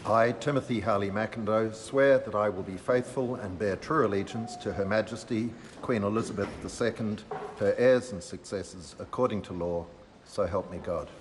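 A man's voice: lowish voice, moderate pace. A man, a member of parliament solemnly swearing a formal oath in a large chamber. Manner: solemn, trailing off quietly. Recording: reverberant chamber, faint hum.

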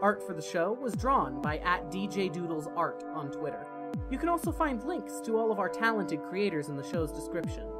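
A woman reading a scripted credits outro as a podcast announcer. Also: on the low side. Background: loud music.

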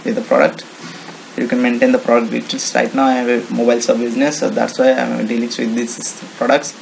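A man with an Indian accent presenting an informal software walkthrough in halting, repetitive, non-native English phrasing. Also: medium pitch, little pitch movement, creaky. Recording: noisy home-made screen recording.

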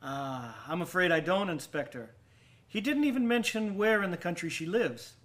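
An actor in a radio drama playing an over-polite, nervous murderer with a genteel, halting way of talking. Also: male voice, high-pitched, lively intonation, a bit bright. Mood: nervous politeness. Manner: genteel, overly courteous, halting. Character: nervous, over-polite male murderer hiding guilt from police.

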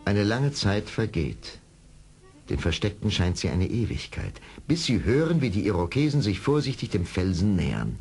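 A man's voice: fairly low pitch, very animated, moderate pace, darkish tone, soft background music. A man narrating an adventure radio play in a dramatic, scripted storytelling style.

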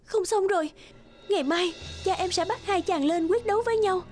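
A woman voicing an anguished young princess in an animated fairy tale, urgent and pleading. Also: very high voice, moderate pace, soft background music, pitched above the performer's own voice. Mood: distressed, urgent.

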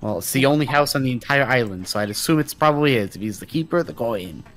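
A man's voice: medium pitch, animated, fast, fairly bright tone, lightly acted. A man playing an evasive, sarcastic supernatural deal-maker in an improvised game roleplay, often hesitant. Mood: sardonic.

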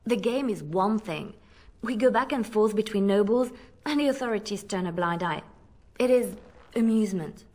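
A woman's voice: medium pitch, expressive, moderate pace, darkish tone, lightly acted. A woman playing an earnest, principled young female spy in a video game, with a hesitant, pleading manner. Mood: urgent, pleading.

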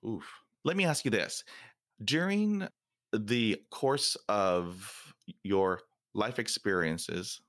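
A man's voice: on the low side, fairly bright tone, no echo, clean studio sound. A man who stutters, hosting a podcast interview and telling stories animatedly with laughter. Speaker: podcast host.

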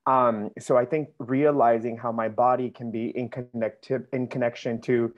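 A man's voice: mid-range voice, flat delivery, normal speed, lo-fi sound. A man who grew up in Mexico speaking as a dancer on a panel, earnest and reflective, often repeating words as he gathers his thoughts. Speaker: interviewee, dancer.

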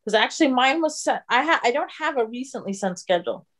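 Lady hosting a zoom talk, chatty, lots of restarts, kinda high voice. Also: expressive, fast, fairly bright tone. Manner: chatty, laughs easily, restarts. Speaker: session host. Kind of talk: casual chat and speaker introduction. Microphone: video call.

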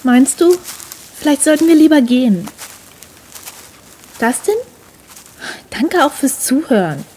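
A woman's voice: high voice, animated, takes it slowly, warm tone. An actress playing a young, uneasy but teasing girlfriend in a horror audio drama. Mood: nervous. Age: young adult.

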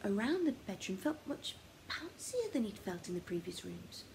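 A young woman reads a children's story in an expressive, theatrical way, often dropping to a hush, recorded in a small room at home. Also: medium pitch, moderate pace, creaky. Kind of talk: animated children's story reading. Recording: noticeable background noise, home recording.

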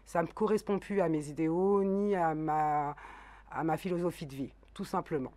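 A woman's voice: low-pitched, fairly even intonation, dark tone, no echo. Young woman debating earnestly in a studio. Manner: earnest, halting, with pauses. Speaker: citizen debater. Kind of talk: televised debate. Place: dark TV studio, seated at a desk. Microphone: desk mic.

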